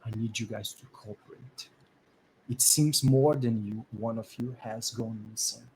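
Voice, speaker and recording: A man voicing a stern, worried man who is searching for lost children, speaking low and firm. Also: steady tone, a little slow, slight background noise, lightly acted, poor audio.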